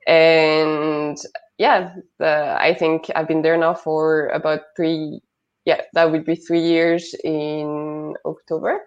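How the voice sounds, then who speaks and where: Low-voiced French woman, hesitant. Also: little pitch movement, slow pace, dull audio. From northern France. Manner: hesitant, many fillers and restarts. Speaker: guest, product manager. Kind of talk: interview conversation.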